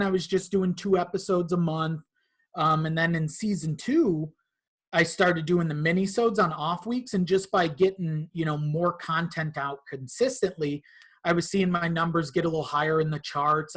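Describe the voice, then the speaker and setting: A man's voice: high voice, fairly bright tone. A man talking casually as a podcast guest, in an informal and slightly hesitant way. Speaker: podcast guest, content creator.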